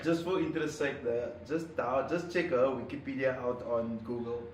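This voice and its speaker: A Zambian man hosting a relaxed podcast chat, pausing on lots of ums and uhs and laughing easily. He sits back from the mic in a roomy, echoing space with faint noise behind him. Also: mid-range voice. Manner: hesitant, many ums and uhs, laughs often. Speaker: podcast host. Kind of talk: casual interview chat. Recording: echoey room, mic at a distance, background noise.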